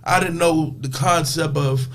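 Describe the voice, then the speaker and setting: A man hosting a podcast, chatting casually and animatedly, his voice leaping up when he tells stories. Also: measured pace. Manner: animated, jumps high when acting out surprise. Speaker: podcast host. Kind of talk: casual chat, storytelling.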